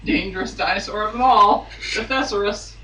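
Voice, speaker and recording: A man's voice: sits high, normal speed, sharp tone. A man giving a theatrical dramatic reading of a romance novel, dropping into amused asides. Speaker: guest reader on a podcast. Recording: roomy, distant mic, faint noise.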